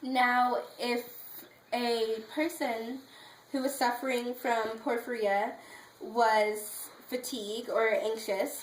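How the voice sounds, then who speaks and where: Female presenter, slightly high voice, explanatory and a bit halting, informative speech recorded in a roomy space. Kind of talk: prepared informative speech with slides. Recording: echoey room with faint background noise.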